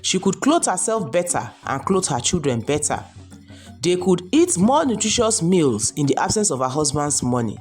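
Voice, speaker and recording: A woman's voice: on the low side, very animated, normal speed, darkish tone. A woman storyteller reading a scripted folktale narration. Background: music behind the voice.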